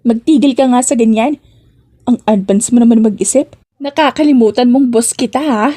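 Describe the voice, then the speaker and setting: Coy, teasing young working woman for audio romance, read by a female narrator; slightly high, mellow voice. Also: moderate pace, lightly acted. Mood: flustered, teasing. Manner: teasing, coy, mock-annoyed.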